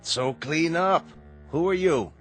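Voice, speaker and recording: A man's voice: fairly high pitch, takes it slowly, a bit bright, faint music behind. An actor playing a condescending, self-satisfied businessman in a radio drama, pompous and patronizing. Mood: smug, patronizing. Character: smug, condescending male tycoon boss.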